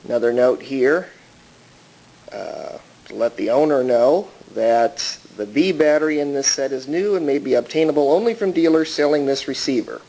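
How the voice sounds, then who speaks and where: A man narrating a casual hands-on show-and-tell as a collector, explaining things in a deliberate way. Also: mid-range voice, lively intonation, warm tone. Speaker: collector showing a vintage radio. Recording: clearly noisy amateur recording.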